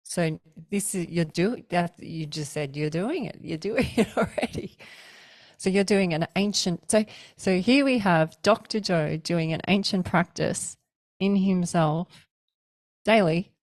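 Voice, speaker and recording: A woman with a notably low voice, speaking slowly and reflectively as a guest in a spiritual conversation. She often pauses to search for her words, and the recording is close and dry. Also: dry recording.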